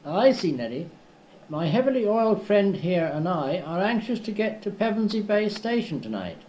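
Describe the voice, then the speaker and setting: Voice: mid-range voice, sing-song, normal speed, a little mellow, muffled recording, a little hiss or hum, lightly acted. An amateur performer voicing a shifty, mock-polite begging politician in a comic radio-play reading, on old cassette tape. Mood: sly, persuading. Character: shifty, sly begging politician and would-be train robber.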